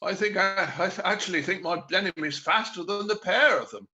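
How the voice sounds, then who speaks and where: A man's voice: high-pitched, a little fast, pushed and bright, lo-fi sound. A man playing a sweet, friendly upper-class cavalry lieutenant in an amateur screenplay read-through. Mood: light joking.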